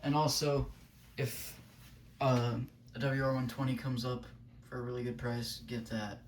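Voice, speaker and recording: A man's voice: medium pitch, level tone, unhurried, a little hiss or hum, poor audio. A man giving a casual, unscripted product review as a hobbyist, thinking aloud in a loose, hesitant way. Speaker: weather radio hobbyist reviewing gear.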